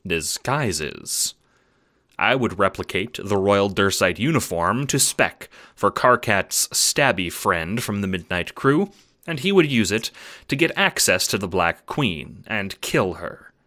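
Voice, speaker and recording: A man's voice: fairly low pitch, bright, forceful tone. A man playing a precise, erudite alien troll who narrates an audio drama in a formal, pedantic manner. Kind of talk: scripted audiobook narration in character.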